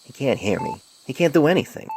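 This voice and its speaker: A young stallion doctor from a fantasy story, voiced by a man, detached and awkward with a cynical streak. He mutters sarcastically in a creaky voice. The cell phone recording has clear background noise. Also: mid-range voice, sing-song, fairly quick, voice pushed up. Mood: exasperated. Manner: irritable, sarcastic muttering to himself.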